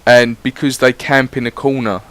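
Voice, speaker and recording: A man's voice: mid-range voice, fairly even intonation, normal speed, fairly bright tone, clear, resonant tone. A man giving casual, rambling voice-over commentary on his gameplay, swearing freely as he chats. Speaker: gaming YouTuber.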